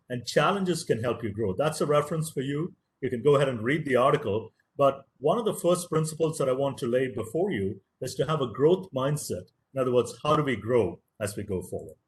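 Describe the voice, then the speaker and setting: A man whose first language is Tamil delivers a motivational lecture over a video call. His voice sits at an ordinary middle pitch, and he talks earnestly. He stresses key words and repeats phrases to drive his points home. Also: lively intonation, fairly quick. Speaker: professor giving a webinar lecture.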